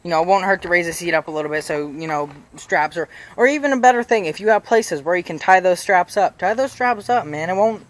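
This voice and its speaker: A young man with a slightly raspy voice giving casual bike tips in a rambling way, full of fillers and restarts. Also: high-pitched, animated, fast, few pauses. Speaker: BMX vlogger. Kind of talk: casual how-to vlog. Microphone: chest-mounted action camera.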